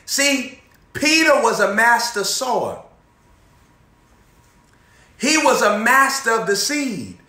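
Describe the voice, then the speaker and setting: A young man preaching an energetic sermon, repeating phrases and throwing questions to his listeners. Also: very high-pitched, slow, long pauses. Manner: animated, repetitive preaching with call-and-response questions, moving around. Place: indoor room in front of a banner backdrop. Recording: echoey room.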